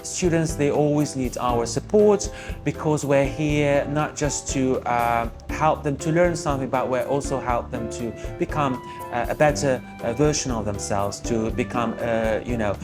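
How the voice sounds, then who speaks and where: Man, chatty teacher. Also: mid-range voice. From Russia. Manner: earnest, chatty, with many pauses. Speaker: English teacher. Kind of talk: documentary interview talk. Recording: background music and ambient noise.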